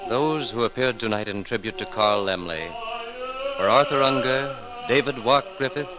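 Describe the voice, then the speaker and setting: A male radio announcer from the 1930s speaks with formal, measured solemnity. His medium-pitched voice sits over prominent music on an old broadcast recording. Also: rough recording.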